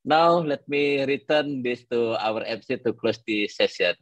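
A man's voice: mid-range voice, unhurried, dry recording, dull audio. A man, moderating a webinar over a video call.

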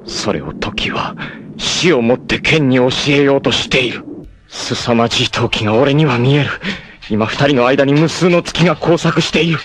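A man's voice: medium pitch, normal speed, noisy background, close to an everyday voice. An actor voicing a proud, fierce young male martial arts warrior in a 1980s anime, with a grave, intense and dramatic delivery.

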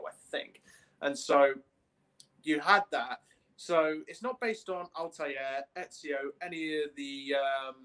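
A man's voice: on the high side, fairly bright tone. A young man co-hosting a casual podcast, explaining things in detail like an enthusiastic fan. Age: young adult. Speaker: podcast co-host. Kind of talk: casual discussion of games and films. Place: small room at home, on a video call.

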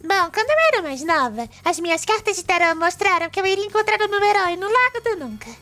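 A woman voicing a perky, sassy young female hedgehog guesting on a cartoon web show, bubbly and expressive, with a Brazilian accent. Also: very high-pitched, fast talker, soft background music.

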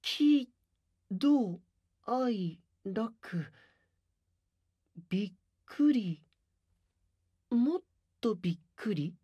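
A mild young male idol talks slowly and softly in a breathy, fairly high voice. He sounds quietly amused, close on a binaural mic. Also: expressive, muffled recording, heavily acted. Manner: soft, unhurried, dryly amused. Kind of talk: anime voice drama.

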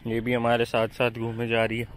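A man's voice: medium pitch, fairly quick, noisy background. A young man giving casual, matter-of-fact vlog narration to viewers while walking around an outdoor cattle farm, recorded on a camera mic. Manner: flat, matter-of-fact commentary addressed to viewers. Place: outdoor cattle farm under shade sheds.

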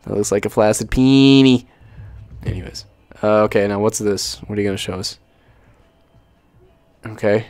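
A man's voice: fairly low pitch, moderate pace, long pauses, darkish tone, clear, resonant tone, dry recording. A young man giving casual, off-the-cuff reaction commentary on an unboxing video, joking and swearing freely as he goes. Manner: joking, sweary, easily amused.

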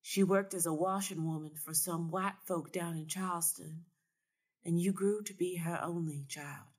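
A woman's voice: lowish voice, steady tone, moderate pace, close to an everyday voice. A woman voicing a knowing Southern woman seer in a story, folksy, solemn and assured.

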